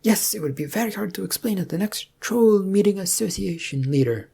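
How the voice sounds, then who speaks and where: A woman's voice: mid-range voice, lively intonation, a little fast, vocal fry, warm tone, husky, voice pushed up. A woman voicing a deferential, bumbling troll henchman in a fantasy story, hesitant and questioning. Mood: agreeable. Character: deferential, bumbling troll underling, eager to please.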